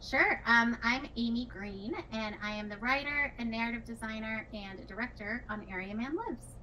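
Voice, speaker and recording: Bright, higher-voiced woman, slightly distant. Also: normal speed. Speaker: game writer and director. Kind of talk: casual panel talk. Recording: distant mic with faint noise.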